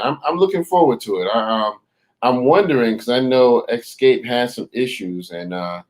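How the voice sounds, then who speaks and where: A man co-hosting a hip hop podcast, talking in a relaxed, agreeable way with lots of fillers. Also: medium pitch. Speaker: podcast co-host. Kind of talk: casual chat.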